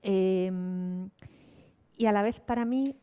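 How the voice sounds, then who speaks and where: A woman's voice: mid-range voice, slow, smooth. A woman from Spain answering informally as a panelist, digressive and wry, often interrupting herself. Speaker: podcast creator and cultural studies lecturer, panelist. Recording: reverberant hall, slightly dull sound.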